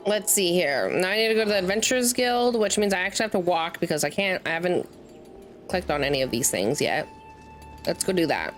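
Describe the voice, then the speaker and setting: A woman's voice: fairly low pitch, animated, brisk. A woman doing casual let's play commentary, chatty and playful, often breaking off mid-sentence and reading game text aloud. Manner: chatty, self-interrupting, playful asides while snacking; reads game dialogue aloud, stumbling over fantasy names. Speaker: streamer, gamer. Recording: game music behind the voice. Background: video game music.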